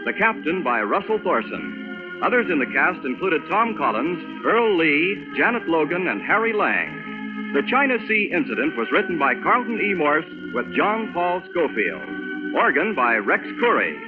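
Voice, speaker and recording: A man's voice: fairly high pitch, very animated, normal speed, phone-quality sound. A man announcing a scripted 1940s radio serial in a formal broadcast style. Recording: thin old radio broadcast with organ.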